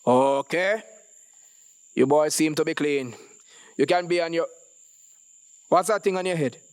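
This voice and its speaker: A man's voice: a little high, a little slow, smooth, pitched above the performer's own voice, natural voice. Man acting out a barking, authoritative police officer. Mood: suspicious, brisk. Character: gruff, commanding police officer, mocking and suspicious. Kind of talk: short story reading.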